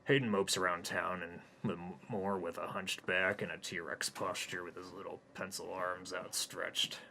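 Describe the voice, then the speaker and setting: A man reading his own comic story in a deadpan, matter-of-fact way. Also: sits low, moderate pace, vocal fry, slight background noise. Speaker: narrator and author.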